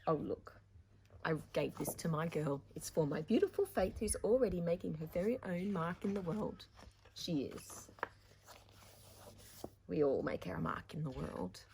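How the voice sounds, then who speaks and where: Animated woman reading a children's storybook. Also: lowish voice, unhurried, gravelly, long pauses, slight background noise, rough recording. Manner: theatrical, dramatic emphasis, addressing young listeners. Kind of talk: animated picture-book reading with chatty asides.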